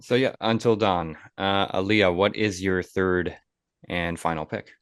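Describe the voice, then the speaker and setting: A man's voice: sits low, fairly even intonation, unhurried, clean tone, no room sound, clean studio sound. A man hosting a podcast, chatting casually about things in a conversational way. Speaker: podcast host. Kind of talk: casual movie chat.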